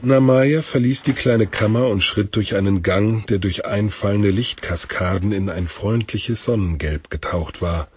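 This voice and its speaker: A man's voice: on the low side, a little slow, few pauses, darkish tone, husky. A man reading scripted narration for a fantasy audio drama.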